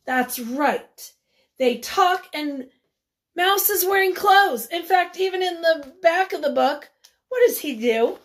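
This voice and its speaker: High-voiced woman teaching young children, slow-paced. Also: expressive, a bit bright. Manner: encouraging, instructive, pausing after simple questions. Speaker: elementary school teacher. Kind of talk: read-aloud lesson for young children, asking questions and answering them.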